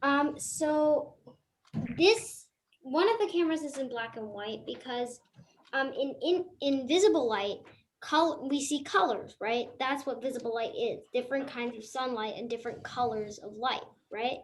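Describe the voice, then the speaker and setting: A woman's voice: high voice, a little slow, slight background noise. A female student co-presenter explaining informally on a live online session, hesitant and restarting sentences as she goes.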